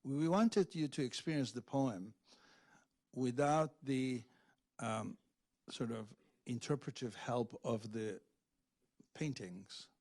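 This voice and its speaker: Guy at a book event talk, middle pitch, kinda hesitant, working out his thoughts as he goes. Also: slow pace, long pauses, darkish tone. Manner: hesitant, searching, often says "in fact" and "right". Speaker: speaker discussing a poetry translation. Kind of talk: public discussion after a reading. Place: public event room at a library.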